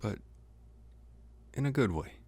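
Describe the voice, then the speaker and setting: A man playing an earnest, smitten young college vampire in a halting, intimate ASMR roleplay, with a soft, breathy voice. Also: fairly low pitch, lively intonation, a little hiss or hum. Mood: intrigued, tender. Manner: halting, hesitant, unhurried.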